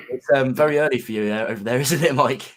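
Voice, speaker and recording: A man with a sore, gravelly throat hosting a casual whisky livestream, friendly and full of ums and restarts. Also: medium pitch, normal speed, a bit bright.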